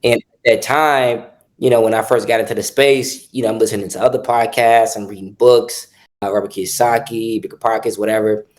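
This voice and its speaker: A man from the South Side of Chicago, a real estate investor talking as a podcast guest in a measured way, often repeating words. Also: on the low side, fairly even intonation. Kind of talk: casual podcast interview.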